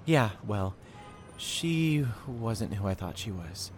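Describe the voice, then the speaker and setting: A man's voice: fairly low pitch, animated, slow pace, faint music behind. A man playing a troubled, easily frightened young man in an audio drama. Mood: dejected.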